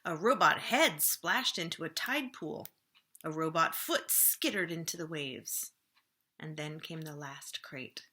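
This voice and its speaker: Women's storytime voice, slightly low and very bright, expressive children's book narration. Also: female voice. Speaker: children's librarian reading a book aloud. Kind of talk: storybook read-aloud for children.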